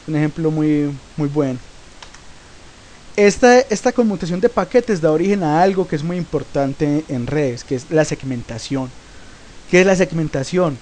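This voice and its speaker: A man's voice: on the high side, warm tone, slight background noise. A man with a sore throat, hosting a recorded lesson on networks. He keeps asking questions and then answering them, with frequent hesitant "eh" sounds. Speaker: network tutorial host.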